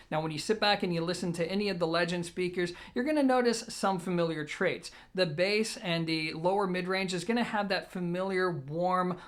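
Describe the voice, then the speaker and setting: Informal gear reviewer speaking straight to viewers. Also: mid-range voice. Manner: informal, addresses viewers directly, emphatic openers to points. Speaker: host, product reviewer. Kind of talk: semi-scripted audio gear video review.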